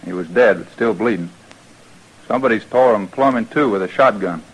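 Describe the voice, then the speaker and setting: An actor playing a rough frontier drifter with a rural American accent, talking in a halting, folksy way in an old-time radio western. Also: male voice, fairly low pitch, heavy background noise, lightly acted. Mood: grim.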